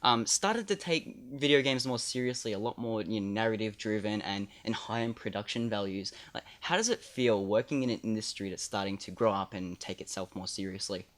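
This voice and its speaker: A man's voice: lowish voice, brisk, hardly any pauses, a bit bright. A young man hosting a gaming show, interviewing a guest eagerly with agreeable fillers. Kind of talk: casual interview.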